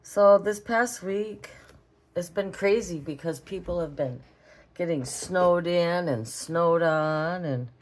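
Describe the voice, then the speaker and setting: A hoarse, raspy woman with a Minnesotan accent, chatting casually as she draws in an art tutorial vlog. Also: fairly low pitch, slow pace. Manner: chatty, rambling, talks while drawing. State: hoarse from a cold. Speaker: art vlogger. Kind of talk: relaxed drawing tutorial chat.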